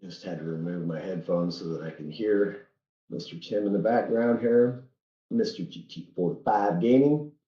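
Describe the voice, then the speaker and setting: A man's voice: sits low, reverberant, muffled recording. A man hosting a racing livestream in a folksy, earnest way, speaking while under the weather with a head cold. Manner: folksy and earnest, halting at times. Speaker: livestream host. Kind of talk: casual livestream commentary.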